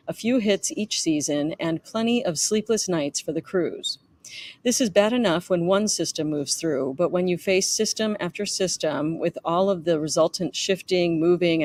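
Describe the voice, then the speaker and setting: Middle-aged woman author reading aloud. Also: on the low side. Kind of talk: reading own memoir aloud.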